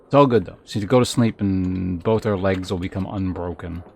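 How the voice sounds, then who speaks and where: A man's voice: sits low, normal speed, vocal fry, faint music behind. A man giving casual, rambling gameplay commentary as a streamer, thinking aloud as he plays. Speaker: game streamer.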